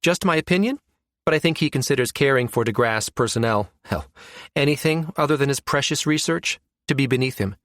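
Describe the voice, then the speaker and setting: A man's voice: mid-range voice, lively intonation, a little fast, fairly bright tone, dry recording, studio quality, voice pushed up, lightly acted. A man voicing a skeptical, wry professional woman who muses emphatically, in an audiobook. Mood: wry, disapproving. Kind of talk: audiobook character dialogue.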